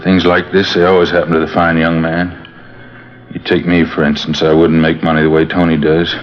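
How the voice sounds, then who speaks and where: A very low-voiced old man, weary and brooding in a vintage radio drama. He rambles in a folksy, flat way. The sound is muffled, with music behind. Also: brisk. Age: older. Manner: rambling, folksy, repeats himself. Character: weary, brooding elderly father-in-law who likes a drink. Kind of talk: 1950s radio drama acting.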